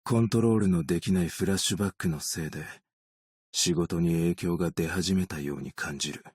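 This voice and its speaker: Casting: a long-lived, world-weary male optician in a game; deep husky voice, understated, gently melancholic delivery. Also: fairly quick, no echo, studio quality. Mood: calm, reflective. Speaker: video game character voice actor. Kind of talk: scripted game character voice lines.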